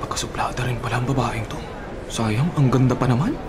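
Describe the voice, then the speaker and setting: Fast-talking, earnest young Filipino man, played by an actor. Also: medium pitch, noisy background, natural voice. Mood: admiring. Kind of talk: Filipino radio drama acting.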